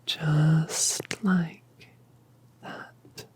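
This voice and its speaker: A colossal mother dragoness, voiced by a woman, murmurs in a breathy, airy whisper. She drifts along slowly and tenderly, soothing a hatchling toward sleep. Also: deep voice, little pitch movement, exaggerated voice. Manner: soft, slow, soothing. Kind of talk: whispered ASMR roleplay.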